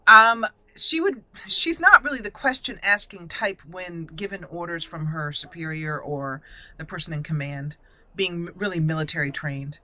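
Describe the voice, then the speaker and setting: A woman's voice: on the low side, normal speed, pushed and bright. A woman hosting a gaming video, giving casual roleplay commentary and thinking aloud as she plays.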